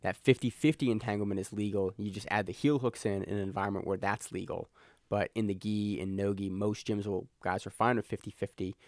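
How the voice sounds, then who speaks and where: A man co-hosting a podcast, talking quickly in a lowish voice. He jokes around and cuts in over his co-host. The sound is dry and close to the mic. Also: steady tone, no echo. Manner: fast, joking, talks over his co-host. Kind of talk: casual podcast chat.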